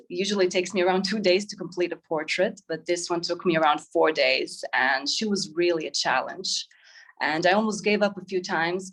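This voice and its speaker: A woman's voice: fairly low pitch, low-quality recording. A woman, explaining herself reflectively as an artist in an informal interview. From Croatia. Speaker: interview guest, painter. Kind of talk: informal interview, describing own work.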